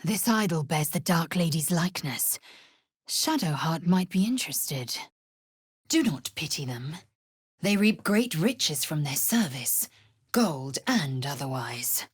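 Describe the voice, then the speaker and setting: A woman playing a proud, fierce githyanki warrior in a fantasy video game, with a husky, raspy voice and a clipped, imperious, formal delivery. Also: sits low, lively intonation, slow pace, no echo, clean studio sound, exaggerated voice. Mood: wry, guarded.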